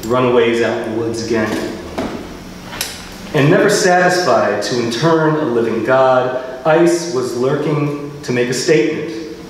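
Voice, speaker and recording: An American man reading his poetry at a live event, with measured, deliberate phrasing. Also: medium pitch. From Philadelphia, United States. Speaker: poet reading own work. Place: live reading venue, indoors. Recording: very echoey hall, distant mic, faint noise.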